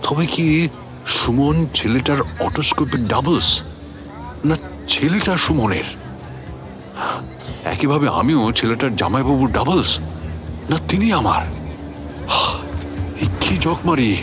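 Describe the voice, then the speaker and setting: A man playing a puzzled, suspicious narrator of a radio story, conversational and expressive, with a West Bengal Bengali accent. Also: mid-range voice, takes it slowly, long pauses, music in the background. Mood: bewildered, musing. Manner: conversational, expressive, self-questioning. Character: puzzled, suspicious man recounting a baffling encounter. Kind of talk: dramatized first-person storytelling for radio.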